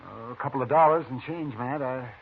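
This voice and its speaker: Fussy old frontier doctor. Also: male voice, mid-range voice, fairly quick, gravelly, slightly rough. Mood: matter-of-fact. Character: folksy older male frontier doctor. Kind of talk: 1950s radio acting. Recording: tinny old radio broadcast with hiss.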